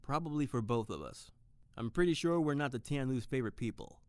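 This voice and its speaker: An actor playing an earnest private detective, narrating and talking in a dry, deliberate noir style for audio drama. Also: male voice, medium pitch, animated, smooth, no room sound, lightly acted. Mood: dry, serious.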